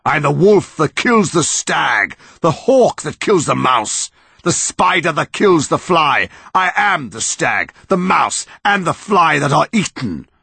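A man voicing an ancient, towering tree monster that speaks in a slow, booming, declaiming way with a gravelly edge. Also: sing-song, bright, forceful tone, no room sound, full character voice. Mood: roaring.

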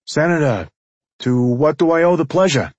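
A man's voice: fairly high pitch, expressive, normal speed, a little mellow, no echo, highs cut off, voice pushed up. A man voicing an older mobster father-in-law in an audiobook, formal, mocking and defiant. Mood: mocking politeness.

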